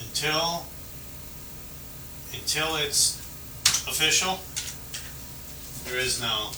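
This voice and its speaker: A man's voice: on the high side, very slow. Conversational policeman, distant noisy recording. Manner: conversational, explanatory, conciliatory. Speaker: police officer. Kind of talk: casual roadside explanation to a detained person. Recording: noisy, roomy, distant mic.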